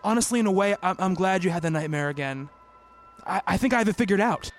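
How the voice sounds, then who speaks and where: An actor playing a concerned, skeptical doctor who narrates his investigation in a measured, formal radio play style. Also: high voice, close to an everyday voice. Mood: concerned, earnest.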